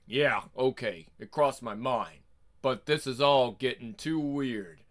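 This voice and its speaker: A man's voice: mid-range voice, takes it slowly, fairly bright tone. A man voicing a blustering, crude, wisecracking police detective in a dramatic comic book reading. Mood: grudging, weary. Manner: sardonic, wisecracking.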